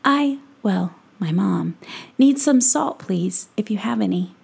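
A woman playing a shy storybook boy who stammers through his polite questions. His voice is breathy and husky and rises high. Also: animated, takes it slowly, a little mellow, heavily acted. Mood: nervous. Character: shy, flustered young boy.

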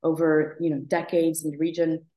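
A woman's voice: low voice, monotone, a little fast, dark tone, echoey room. A woman in her thirties from Morocco, a guest telling her story in a conversational interview, reflective and thoughtful, with many fillers and restarts. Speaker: guest, app founder.

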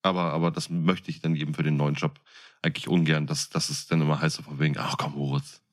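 A man's voice: rumbling low, level tone, fast talker. A man co-hosting a podcast in casual chat, with a jokey, colloquial, buddy-like way of talking. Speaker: podcast co-host.

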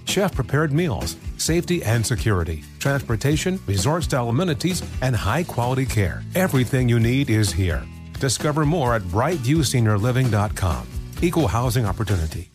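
A man's voice: mid-range voice, big pitch swings, brisk, over music. A man reading an upbeat promotional advertisement. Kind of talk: radio ad read.

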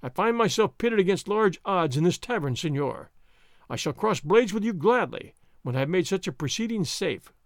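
A man voicing a cool, confident masked swordsman hero who gives calm, commanding orders with a mocking edge. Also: high voice, moderate pace, dry recording, studio quality. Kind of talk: audiobook character reading.

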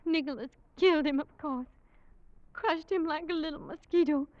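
An actress playing a fragile, dazed young daughter in a theatrical, childlike 1940s radio style. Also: female voice, sits high, moderate pace, dry recording, close to an everyday voice. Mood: dazed, haunted. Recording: dull, dry old radio recording.

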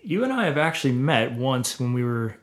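A man's voice: medium pitch, big pitch swings, fairly quick. Man, podcast host, mid-range voice, casual, easygoing questions. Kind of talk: casual interview chat.

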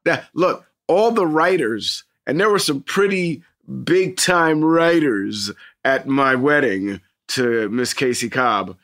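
Animated American man, casual podcast banter. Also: mid-range voice, takes it slowly. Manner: slangy, profane, emphatic. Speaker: podcast co-host.

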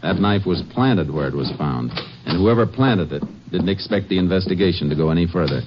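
An actor playing a calm, measured American Texas Ranger investigator in a 1950s radio drama. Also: male voice, low-pitched. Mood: probing. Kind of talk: 1950s radio drama acting with first-person narration. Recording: dull old radio recording with music.

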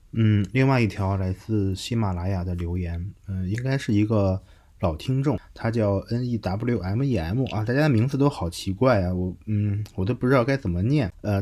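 A man hosting a podcast in a casual, conversational way, pausing now and then as he thinks through his words. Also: fairly low pitch, darkish tone. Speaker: podcast host. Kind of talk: casual podcast hosting, reading listener messages.

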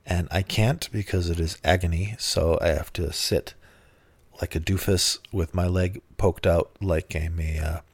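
A middle-aged man talks alone into a close, dry mic, hosting his podcast at a tired, grumpy pace. His voice sits low and sounds thickened, since he is still getting over bronchitis. He swears freely along the way. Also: steady tone, measured pace, breathy edge, no echo. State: recovering from bronchitis, deeper voice than usual. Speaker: podcast host. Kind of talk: casual solo podcast monologue.

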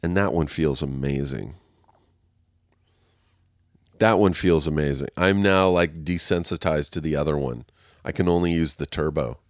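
A man's voice: rumbling low, moderate pace, long pauses, no room sound, studio quality. A man hosting a casual solo podcast, rambling and swearing, laughing at himself, sounding congested and nasal. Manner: rambling, profane, self-mocking, laughs often. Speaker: podcast host. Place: waiting on a set, recording alone.

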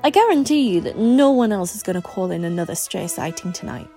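A woman's voice: medium pitch, lively intonation, brisk, warm tone, faint music behind, lightly acted. A woman voicing a blunt, teasing, bossy female co-worker in an audiobook story, speaking frankly and emphatically. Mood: coaxing.

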